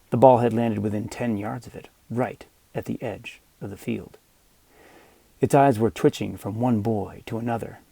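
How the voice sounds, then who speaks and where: A man narrating scripted fiction as an audiobook reader, with measured, dramatic storytelling. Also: lowish voice, creaky, dark tone, dry recording.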